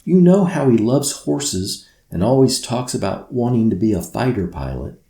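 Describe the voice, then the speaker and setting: Man reading as an eager, excitable young schoolgirl. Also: mid-range voice, normal speed, creaky, reverberant, pitched above the performer's own voice. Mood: excited. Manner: eager, emphatic.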